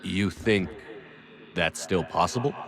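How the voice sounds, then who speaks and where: An actor playing an earnest, steady male military commander in a video game, speaking plainly and directly. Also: low-pitched, slow pace, crowd noise. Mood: curious, questioning. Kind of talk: video game character acting.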